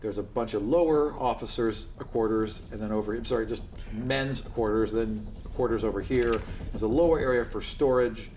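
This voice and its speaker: A man's voice: on the low side, moderate pace, a little mellow. A man narrating a tabletop roleplaying game as its game master, improvising the descriptions as he goes. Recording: roomy sound with faint background noise.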